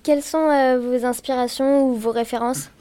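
A woman working as a student radio reporter, asking careful, measured interview questions. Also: high-pitched, steady tone, warm tone.

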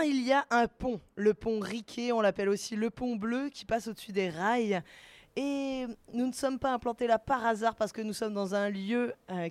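A woman's voice: medium pitch, no room sound. Radio host, a woman, very dry sound. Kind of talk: live festival radio presenting.

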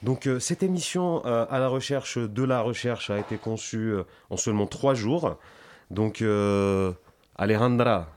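Young male radio host, lowish voice, studio, halting and filler-heavy. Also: a little mellow, a little hiss or hum. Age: young adult. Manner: hesitant, frequent fillers and self-corrections. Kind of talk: casual live radio hosting.

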